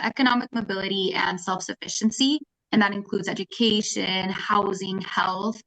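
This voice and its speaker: A woman with a medium-pitched voice gives an organized, informative overview of her program. She speaks as a panelist at an online webinar. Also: a bit bright, rough recording. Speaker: apprenticeship specialist. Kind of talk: panel presentation.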